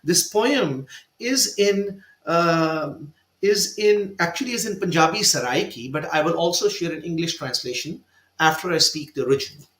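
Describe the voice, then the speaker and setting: A man's voice: on the high side, normal speed, echoey room. A man from India, an author, telling personal stories with feeling during an online discussion. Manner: emotional, animated storyteller. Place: virtual event, video call. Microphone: video call.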